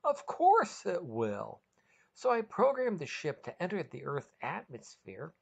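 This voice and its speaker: A woman's voice: low voice, wildly swooping pitch, measured pace, vocal fry, breathy edge, pitched above the performer's own voice, heavily acted. A woman voicing a mischievous, fun-loving male alien in a storybook reading, confident and chummy.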